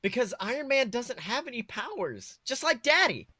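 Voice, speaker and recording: A man's voice: very high-pitched, big pitch swings, moderate pace, bright, forceful tone, no echo, clean studio sound, pitched above the performer's own voice, heavily acted. A man voicing a shy, sweetly earnest little girl in a story reading. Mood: shy admiration. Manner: earnest, childlike delivery.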